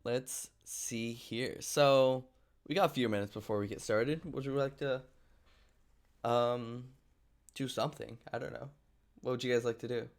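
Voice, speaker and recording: A man's voice: medium pitch, fairly even intonation, unhurried, gravelly. A man chatting casually as the host of a group live stream.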